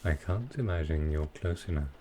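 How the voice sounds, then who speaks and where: A man playing a sly, dryly amused male supervillain in a soft roleplay ASMR style, with halting, understated delivery. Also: very deep voice, animated, slight background noise. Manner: unhurried, halting delivery with pauses, dry understated humour.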